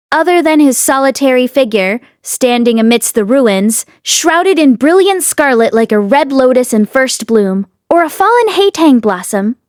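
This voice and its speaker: High-voiced woman narrating a fantasy novel. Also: dry recording, clean studio sound. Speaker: audiobook reader.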